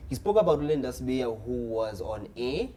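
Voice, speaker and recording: A man's voice: medium pitch, very animated, brisk, mellow, a little hiss or hum. A young man with a South African accent hosting a casual podcast, playful and teasing, switching between languages as he asks questions. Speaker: podcast host. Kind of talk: casual interview chat.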